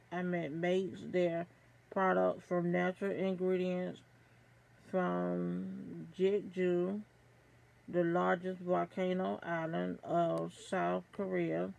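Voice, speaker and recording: A middle-aged woman reads product descriptions aloud in a small room at home. Her delivery is very slow and halting, with stumbles over names. The voice is heavily creaky and stays fairly flat. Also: lowish voice, poor audio. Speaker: beauty box reviewer. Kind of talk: product review, reading aloud from an info card.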